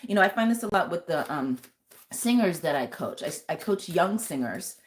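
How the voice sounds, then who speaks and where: A woman with a thick, lower voice giving a live tarot reading and talking straight to her viewers with earnest, intuitive warmth. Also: very animated, normal speed. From United States. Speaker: tarot reader and vocal coach.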